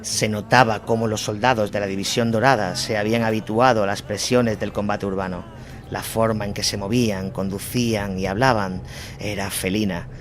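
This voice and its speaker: A man's voice: lowish voice, fairly even intonation, normal speed, slight background noise. A man narrating a dramatized war chronicle as a scripted audio story. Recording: music bed behind the voice. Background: music.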